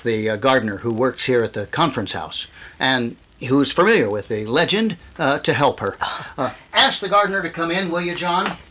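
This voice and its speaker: A man's voice: mid-range voice, a bit bright. A man playing a fussy, reassuring broadcast announcer who speaks hesitantly in a 1930s style radio drama. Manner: halting, hesitant, solicitous.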